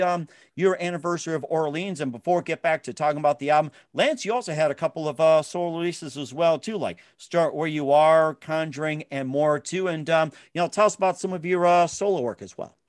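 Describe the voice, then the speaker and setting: A male podcast host with a slightly high voice, recorded dry and close. He interviews guests in a loose, filler-laden way. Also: no echo. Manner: brisk promotional reading with fillers. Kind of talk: interview and read sponsor spots.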